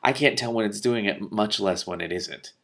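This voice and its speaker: A man's voice: lowish voice, normal speed, vocal fry, fairly bright tone. A man voicing a frustrated, plain-talking king in a storybook, impatient and direct.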